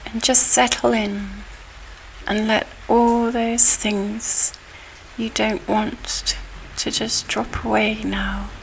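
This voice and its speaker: A woman guiding a relaxation hypnosis session in a soothing, hushed and breathy voice with long pauses. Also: a little high, slight background noise, poor audio. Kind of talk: guided relaxation hypnosis, ASMR-like. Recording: steady rain and thunder behind voice. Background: rain and thunder soundscape.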